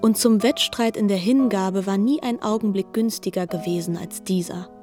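Mellow woman narrating literary radio drama. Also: mid-range voice, normal speed, soft background music.

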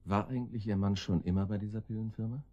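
An actor playing a laconic, dryly ironic gangster guard in a German radio drama, gruffly giving orders. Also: male voice, lowish voice, fairly even intonation, fast, darkish tone, muffled recording, close to an everyday voice. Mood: matter-of-fact. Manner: laconic, dry, gruffly commanding.

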